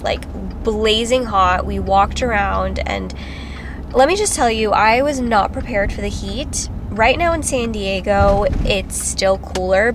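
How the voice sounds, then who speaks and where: A woman's voice: mid-range voice, background noise. A woman, a travel vlogger chatting casually to the camera, a little congested from a cold, with lots of "like" and "um". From Philippines. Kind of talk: casual vlog talk to camera. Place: driving around and outdoors in summer.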